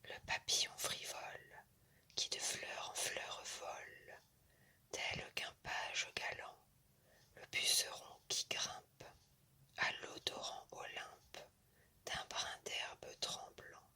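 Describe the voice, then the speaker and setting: A woman whispers a poem for ASMR in a crisp, very bright, breath-only voice. She moves extremely slowly, setting down each short phrase softly and with care. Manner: soft, deliberate whispering in short measured phrases. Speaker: ASMR poetry reader. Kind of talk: whispered ASMR reading of classic verse.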